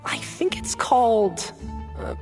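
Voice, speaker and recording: Extremely lively intonation from a friendly young family member in a fantasy game who rambles and hesitates. The voice carries a long reverb with game music behind. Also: mid-range voice, slow. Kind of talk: game voice acting.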